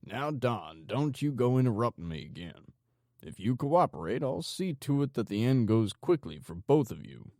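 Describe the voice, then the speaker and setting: A man voicing a calm, sinister male psychopathic gangster in an audiobook, with menacing, mock-teacherly politeness. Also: fairly low pitch, measured pace, vocal fry, no room sound, clean studio sound, heavily acted. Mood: calm menace. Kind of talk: audiobook character dialogue.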